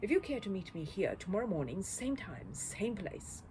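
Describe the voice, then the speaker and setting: A woman's voice: mid-range voice, very animated, moderate pace, mellow, slight background noise. A woman voicing a male swindler posing as a businessman, ingratiating and conspiratorial.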